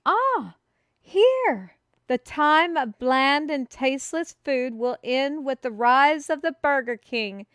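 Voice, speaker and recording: A woman acts out an earnest female herald in a fantasy audio drama, proclaiming in grand, old-fashioned phrases. The voice sits on the high side and moves slowly, with an excitable streak. The sound is close and dry. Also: dry recording, heavily acted. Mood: excited, proclaiming. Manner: theatrical, proclaiming, old-fashioned formal wording. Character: excitable, earnest female kingdom messenger bearing a food prophecy. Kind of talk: fantasy audio roleplay, speaking to one listener.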